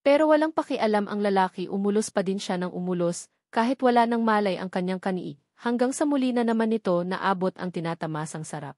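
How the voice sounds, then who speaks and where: A woman's voice: medium pitch, lively intonation, fairly quick, a little mellow, no echo, clean studio sound. A woman reading scripted fiction aloud as an audiobook narrator.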